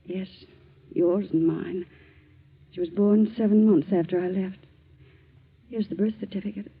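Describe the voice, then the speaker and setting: A woman's voice: mid-range voice, steady tone, unhurried, gravelly, husky. An actress playing an ailing, remorseful middle-aged mother in a 1940s radio drama, weary and pleading. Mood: desperate pleading. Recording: muffled, scratchy 1940s radio broadcast.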